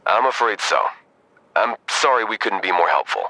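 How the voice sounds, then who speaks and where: An actor playing a weary, procedural police sergeant, measured and matter-of-fact, in an audio drama. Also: male voice, fairly low pitch, expressive, pushed and bright, no room sound, rough recording. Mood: politely dismissive. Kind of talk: audio drama acting, phone dialogue.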